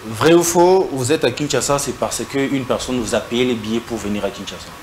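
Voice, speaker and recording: A man hosting a casual interview, firing quick true-or-false questions in a relaxed way. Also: medium pitch, a little hiss or hum. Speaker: interview host. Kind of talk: casual interview in French mixed with Lingala. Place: indoor studio set.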